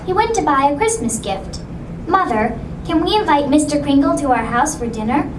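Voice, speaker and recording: A woman's voice: sits high, expressive, normal speed, background noise. A woman voicing an earnest, curious, slightly skeptical little girl in a children's puppet musical, with simple childlike delivery. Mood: pleading. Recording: roomy puppet show, distant, loud music.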